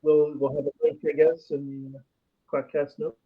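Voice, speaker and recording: A man chatting tentatively and haltingly on a podcast, heard on a lo-fi, off-mic recording. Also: fairly high pitch, monotone, husky. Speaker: podcast participant. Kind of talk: casual podcast chat. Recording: dull, lo-fi, off-mic voice.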